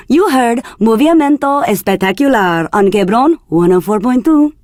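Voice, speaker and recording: Flirtatious radio DJ from Argentina for a game: high, breathy, teasing, very dry close sound. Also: female voice, a little mellow, no echo. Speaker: radio DJ host. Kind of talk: scripted radio DJ patter for a video game.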